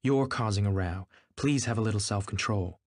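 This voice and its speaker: A man's voice: lowish voice, moderate pace, close to an everyday voice. A man voicing an anxious, fragile wife who can turn imperious, in an audiobook. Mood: pleading, helpless. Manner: pleading, helpless. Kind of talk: audiobook character acting.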